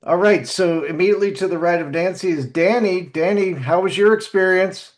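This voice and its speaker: A man's voice: high voice, a little fast. A man casually moderating a panel as a podcast host, introducing guests and asking questions.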